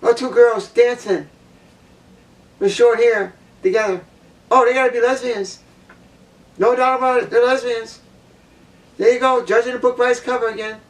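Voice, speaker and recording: A woman with a low voice giving a blunt opinion monologue, repeating her points for emphasis. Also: fairly even intonation, moderate pace, pauses often, distant mic, rough recording. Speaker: vlogger.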